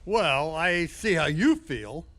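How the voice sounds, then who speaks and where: High-voiced, genteel charity gentleman. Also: big pitch swings, takes it slowly, heavily acted. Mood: earnest, dismayed. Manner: genteel, courteous, slightly hesitant. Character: polite, earnest gentleman collecting for charity. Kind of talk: audio theatre acting.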